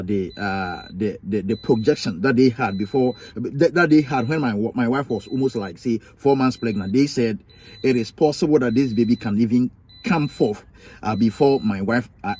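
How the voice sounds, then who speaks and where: Young Ghanaian man, husky mellow voice, emphatic repetitive storyteller, vlog. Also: medium pitch. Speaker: vlogger.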